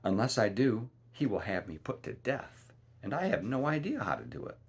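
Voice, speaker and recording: A man puts on a despairing young miller's daughter from a storybook. The voice is husky, with swooping, pleading, hesitant delivery. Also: mid-range voice, big pitch swings, moderate pace, voice pushed up. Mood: tearful, despairing.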